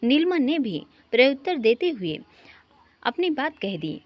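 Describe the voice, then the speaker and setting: A woman storyteller with a fairly high, lively voice reads a scripted romantic family story. Her pitch moves around expressively, and she occasionally trips on a word and corrects herself. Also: a little slow, dry recording. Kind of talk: scripted narration of an emotional Hindi love story.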